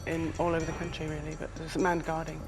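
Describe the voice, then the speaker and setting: South Yorkshire woman, game show contestant, lowish pitch, hesitant, music playing. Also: little pitch movement, fast. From South Yorkshire, England. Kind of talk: answering a host's questions.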